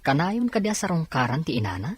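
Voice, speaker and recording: Woman playing a very deep, raspy grumbler. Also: very animated, a little fast, exaggerated voice. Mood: grumbling, tired.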